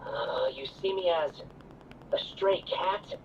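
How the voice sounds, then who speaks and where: A man's voice: fairly high pitch, takes it slowly, highs cut off, slight background noise. A man playing an aloof, grumpy young man turned stray cat in a video game, dry and curt. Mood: indignant, sardonic.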